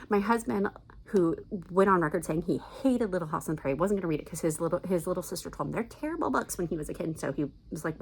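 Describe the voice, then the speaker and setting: A woman's voice: on the low side, breathy edge. A middle-aged American woman chatting casually and emphatically as a video blogger giving recommendations. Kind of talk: casual chat to camera, recommendations.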